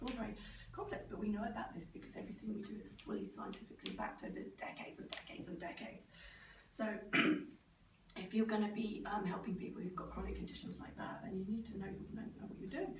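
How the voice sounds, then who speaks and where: A middle-aged British woman with a croaky, sore-throated voice, giving an informal, animated talk and sometimes mimicking other people. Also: mid-range voice, quick pace. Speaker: speaker, neurodevelopment specialist. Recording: roomy, distant microphone, clear background noise.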